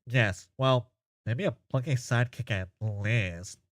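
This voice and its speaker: A goofy, chatty boy character, played by a man in a comic dub. He rambles earnestly and playfully through silly opinions in a mid-pitched male voice, recorded close and dry. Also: slow pace, fairly bright tone, no echo. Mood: opinionated, playful.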